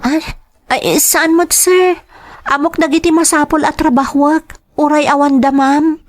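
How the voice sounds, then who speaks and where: A woman playing a meek Filipina maid, higher-pitched voice. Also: steady tone, unhurried. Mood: respectful. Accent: Ilocano. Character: meek young Filipina domestic helper, respectful and anxious. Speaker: narrator and lead actor. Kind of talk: dramatized audio story, acted dialogue and first-person narration.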